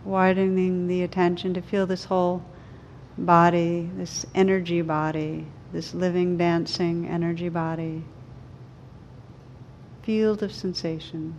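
A woman leading a guided meditation, speaking gently and soothingly in slow, unhurried phrases with pauses. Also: fairly low pitch, little pitch movement, lo-fi sound, slight background noise. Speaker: meditation teacher.

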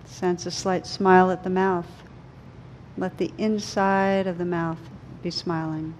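Low-voiced woman guiding a meditation, even tone. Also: slight background noise, low-quality recording. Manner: slow, unhurried phrases with pauses; gentle, soothing. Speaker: meditation teacher. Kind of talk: guided meditation.

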